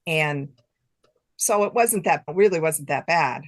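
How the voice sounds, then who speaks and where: A woman's voice: low-pitched, animated, slow. An older woman chatting casually and informally as a guest author in an interview, with a giggly streak. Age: late 50s to 60s.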